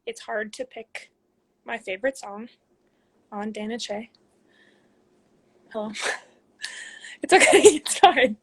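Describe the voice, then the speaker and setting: A young woman in her twenties talks into her phone from a room at home, reading out questions from viewers and answering them one by one. Her voice sits a little on the high side, and the talk is loose and chatty, full of ums. Now and then she drops into a quiet murmur as she reads the next comment. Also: lively intonation, takes it slowly, long pauses, husky. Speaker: athlete hosting a live Q&A. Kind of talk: casual live-stream chat answering viewer questions.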